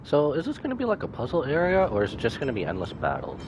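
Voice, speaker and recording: A man's voice: medium pitch, huge pitch swings, fast, lo-fi sound, noisy background. A man live-streaming a video game, giving casual reaction commentary as he plays. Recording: game music under the voice.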